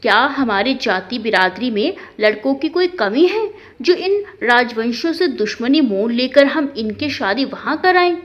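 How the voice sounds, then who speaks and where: Woman as a high-voiced, meddling sister-in-law. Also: animated, unhurried. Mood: needling. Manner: needling, falsely agreeable. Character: meddling, trouble-stirring young sister-in-law. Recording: dull, lo-fi sound.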